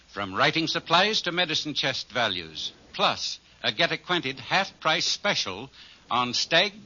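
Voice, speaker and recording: A man's voice: on the high side, sharp tone. A man announcing a commercial in a polished 1940s radio style. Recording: dull lo-fi old radio recording.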